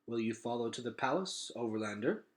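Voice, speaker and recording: A man voicing a dignified old man of an underground kingdom in a children's novel, speaking in a formal, measured and courteous way. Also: medium pitch, expressive, roomy sound, slight background noise, lightly acted. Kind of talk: children's novel read-aloud.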